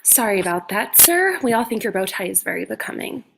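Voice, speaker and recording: A woman's voice: mid-range voice, big pitch swings, a little fast, vocal fry, noisy background. A woman playing an apologetic, placating office worker in a comedy table read.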